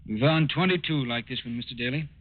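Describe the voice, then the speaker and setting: A man's voice: medium pitch, natural voice. Actor as a grave, methodical radio lab man. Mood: grave, explaining. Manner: deliberate, expository. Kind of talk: 1940s radio acting. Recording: tinny old-time radio recording.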